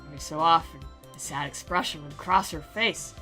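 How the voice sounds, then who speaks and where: Sarcastic, forceful woman reading a game aloud. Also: low-pitched, a little fast. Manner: theatrical, playful, sarcastic. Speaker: game commentator and dramatic reader. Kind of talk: sarcastic visual novel read-aloud with commentary. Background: music.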